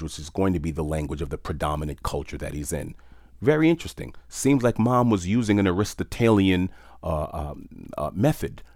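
Deep-voiced man telling a reflective story. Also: darkish tone, no room sound, studio quality. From New York City. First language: French. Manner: reflective, measured, conversational with fillers. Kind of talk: personal storytelling talk.

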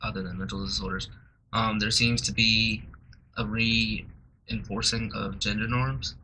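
A man's voice: on the low side, level tone, measured pace, bright, forceful tone, a little hiss or hum, rough recording. A young man in a group discussion who hedges and fills his speech with "like". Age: young adult. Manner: hedging, fills with "like". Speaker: roundtable participant.

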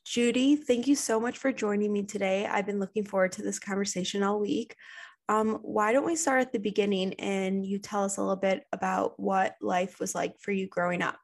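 A woman hosts an interview podcast, her mid-pitched voice full of gravelly fry. She reads an introduction and then asks long, earnest questions of her guest. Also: fairly even intonation, brisk. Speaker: podcast host.

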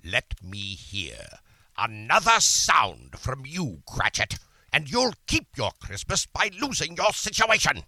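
A man's voice: mid-range voice, huge pitch swings, slow, bright, forceful tone, heavily acted. An actor playing a cold, miserly old businessman in a theatrical radio play, curt and sarcastic. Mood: scornful, irritated.

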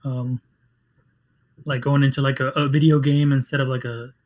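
A man whose first language is Spanish speaks in a flat, level tone. He talks haltingly, with frequent restarts and fillers, as a game developer chatting in an interview. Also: medium pitch. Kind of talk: casual interview chat.

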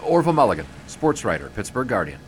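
An actor playing an affable, wry American newspaper sports writer of the 1920s in a period audio drama, with jaunty, wordy phrasing. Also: male voice, lowish voice, very animated, quick pace, slight background noise. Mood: polite, eager.

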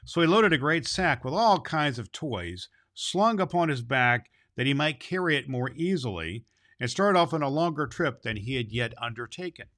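A man with a medium-pitched voice reads a children's story aloud. His delivery is homely and a little unpolished, like an amateur storyteller. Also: pushed and bright. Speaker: storybook reader.

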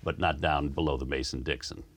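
A very deep-voiced man with a slight Southern American lilt, chatting easily as a guest. He talks in a relaxed, rambling way, drifting from one memory to the next. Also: a little fast, breathy edge. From United States. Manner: easygoing, rambling storyteller. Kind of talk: relaxed conversational interview.

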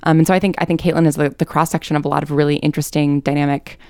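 A woman's voice: deep voice, flat delivery, very fast, darkish tone, no room sound, studio quality. A woman giving thoughtful, analytical answers as a writer being interviewed, explaining her ideas articulately.

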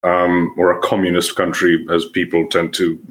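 Deep-voiced young man with a Serbian accent. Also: completely flat delivery. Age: young adult. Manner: thoughtful, halting, frequent restarts. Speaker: podcast guest. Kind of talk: conversational podcast interview.

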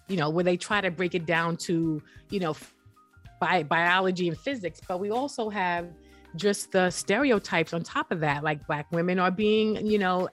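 Earnest lower-voiced woman guest. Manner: earnest, emphatic, tags points with "right?". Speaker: guest, app founder. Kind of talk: conversational interview.

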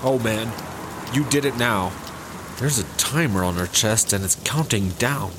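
An actor playing an anxious, cautious buddy-cop sidekick in a sci-fi comedy audio drama, worried and urging. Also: male voice, on the low side, unhurried, noisy background, natural voice. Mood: panicky.

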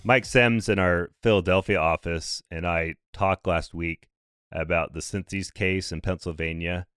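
Low-voiced guy hosting a podcast, bit hoarse and raspy, brisk chatty delivery, dry close sound. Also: fairly even intonation, fairly bright tone, no room sound, faint music behind. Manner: brisk, conversational, light dry humor. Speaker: podcast host. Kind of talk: scripted podcast explainer.

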